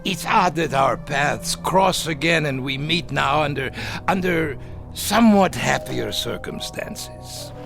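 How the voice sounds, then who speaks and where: A man's voice: on the high side, expressive, slow pace, vocal fry, heavily acted. A male actor playing a blustering, guilty former SS captain in a radio drama, stammering and swinging between haughtiness and fear. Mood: nervously jovial. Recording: radio drama with music behind.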